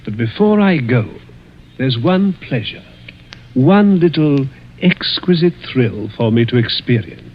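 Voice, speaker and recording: A man's voice: mid-range voice, animated. Suave evil older rich man, old time radio mystery, swoopy sly delivery, dull vintage recording. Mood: gleeful menace. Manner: silky, taunting, deliberate with chuckles and hesitations. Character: suave, malicious, wealthy older stepfather plotting murder. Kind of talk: 1940s radio mystery acting.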